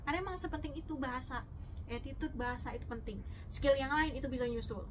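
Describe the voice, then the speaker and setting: A woman with a notably high voice gives firm, persuasive advice to her peers, leaning on rhetorical questions. Clear background noise sits behind her voice throughout. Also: fast talker. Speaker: domestic worker sharing advice. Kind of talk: casual advice chat.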